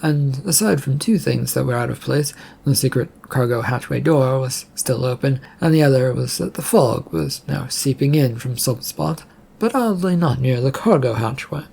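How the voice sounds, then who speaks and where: Male narrator for audio fiction, soft-toned and creaky, reading from a script. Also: mid-range voice, normal speed.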